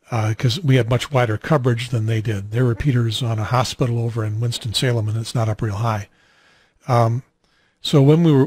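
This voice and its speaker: Older male net host, mid-pitched, conversational technical explainer, dry close recording. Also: darkish tone, no room sound. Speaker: amateur radio net host. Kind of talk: conversational technical explanation over ham radio. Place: small home radio room, indoors. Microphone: handheld radio mic held at mouth, boom mic nearby.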